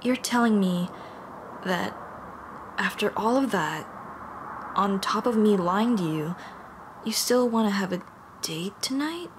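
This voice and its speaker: A woman's voice: mid-range voice, expressive, takes it slowly, long pauses, background noise. A woman playing a nervous, apologetic young female dullahan in an intimate ASMR roleplay, speaking hesitantly with stammers and self-deprecation. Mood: surprised, hopeful.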